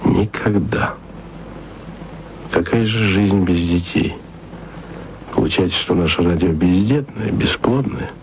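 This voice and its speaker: An actor playing a wistful radio archivist who speaks softly and intimately to his beloved in a radio play. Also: a little hiss or hum, low-quality recording. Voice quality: low, gravelly voice. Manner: soft, intimate, sometimes whispered. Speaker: radio man speaking to his distant lover.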